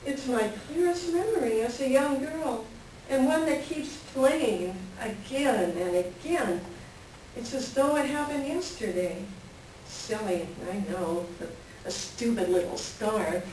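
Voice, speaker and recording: A woman's voice: fairly high pitch, expressive, slow, a little mellow. A woman playing a wistful, melancholy married woman in a staged play reading, with a reflective storytelling manner. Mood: wistful reminiscence. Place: library reading before a microphone at some distance. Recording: very echoey room, distant mic, faint noise.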